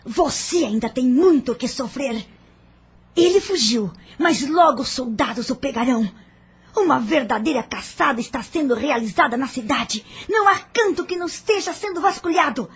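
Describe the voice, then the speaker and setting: A woman's voice: high voice, animated, moderate pace, breathy edge, full character voice. A woman playing a vindictive, sarcastic villainess in an audio drama, taunting with open scorn. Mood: gloating.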